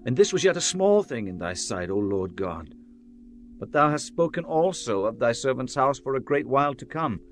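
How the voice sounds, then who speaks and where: A man's voice: medium pitch, animated, moderate pace, close to an everyday voice. An actor playing a humble, reverent king in a dramatized Bible reading, solemn and declamatory. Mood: humble, awed. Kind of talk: dramatized Bible audio acting.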